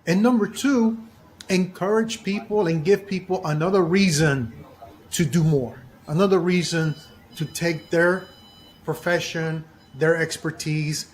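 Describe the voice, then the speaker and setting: A man hosting an interview podcast, talking in a deliberate way and repeating phrases for emphasis. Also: fairly high pitch. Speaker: podcast host.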